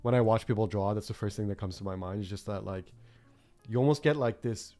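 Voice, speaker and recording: A man's voice: fairly low pitch, fairly quick, warm tone, soft background music. A young man from Norway chatting on a drawing livestream, rambling and reflective with many fillers and restarts. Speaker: art streamer. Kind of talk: casual chat while drawing on a livestream.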